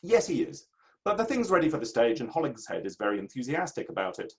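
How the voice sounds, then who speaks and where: A man performs a pompous Victorian male playwright in a stage-play reading. His delivery is highly animated, rising and dipping freely at a middling pitch. He sounds theatrical and thoroughly pleased with himself. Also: quick pace. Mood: breezy, boastful. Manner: pompous, theatrical, self-justifying. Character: vain, self-satisfied Victorian playwright and novelist, male. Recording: online reading from home setup.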